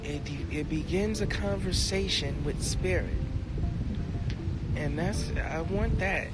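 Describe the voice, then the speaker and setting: Loud music plays behind a man with a slightly high voice. He talks slowly and casually to his viewers about spiritual things. His delivery is laid-back and halting, circling back to repeated catchphrases as he muses. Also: poor audio. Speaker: musician who plays flute, streaming host.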